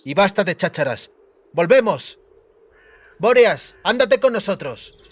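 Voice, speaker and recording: A man voicing a proud, defiant female soldier with a hoarse voice in a fantasy audiobook. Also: high voice, sing-song, unhurried, pitched above the performer's own voice, exaggerated voice.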